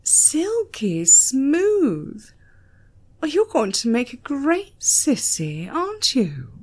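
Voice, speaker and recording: Lady acting out a bossy taunting young british woman in an audiobook, slow and swoopy. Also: high voice, huge pitch swings, a little mellow, pitched above the performer's own voice, exaggerated voice. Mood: gloating. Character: domineering, taunting young woman bullying a man.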